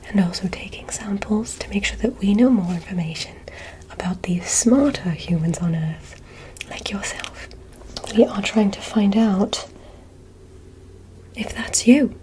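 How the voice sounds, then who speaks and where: A woman playing a polite, curious female alien medical examiner in an ASMR roleplay, in a soft breathy whisper with formal, stilted phrasing. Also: medium pitch, a little hiss or hum, natural voice, rough recording. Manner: formal, oddly stilted, deliberate, reassuring.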